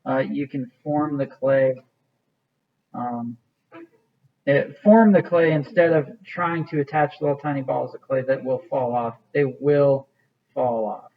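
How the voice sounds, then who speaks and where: A man's voice: mid-range voice, unhurried, highs cut off. A man teaching an art class, walking students through a clay project in a casual, rambling way with many fillers. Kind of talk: classroom demonstration.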